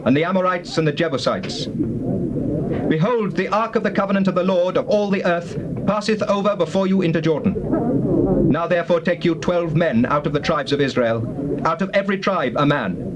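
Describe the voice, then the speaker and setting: An actor with a British accent playing a commanding leader of Israel, proclaiming solemnly in a formal Bible dramatization. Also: male voice, a little high, brisk, close to an everyday voice. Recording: noisy old cassette tape.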